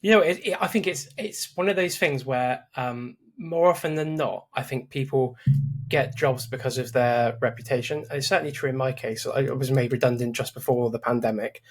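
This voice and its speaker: A man's voice: mid-range voice, normal speed, slightly rough. A man hosting a podcast, talking casually and restarting his sentences often. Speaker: podcast host. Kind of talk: casual interview chat.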